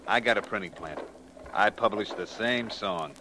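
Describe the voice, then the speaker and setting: A man's voice: on the low side, moderate pace, natural voice. An actor playing a vain, menacing racket boss with cocky, slangy tough-guy talk in a 1940s radio crime drama. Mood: boastful. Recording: hissy old radio recording.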